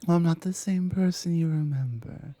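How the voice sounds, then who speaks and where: A woman playing a confident, flirtatious former classmate in an intimate ASMR roleplay, with a soft, breathy, coaxing delivery. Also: on the low side, moderate pace, no room sound, natural voice. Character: confident, flirtatious female former classmate confessing long-held desire.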